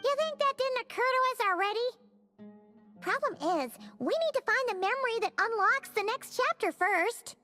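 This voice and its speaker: A woman voicing a small, cheeky fairy companion in a video game, with a squeaky childlike voice and a teasing, animated manner. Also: normal speed, soft background music, full character voice. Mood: puzzled.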